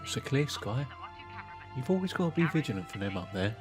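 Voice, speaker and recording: A man's voice: mid-range voice, big pitch swings, a little fast, soft background music, lightly acted. An actor playing a stubborn, grumpy old grandfather with a blunt, colloquial way of talking, in an audio drama. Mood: wistful. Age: older.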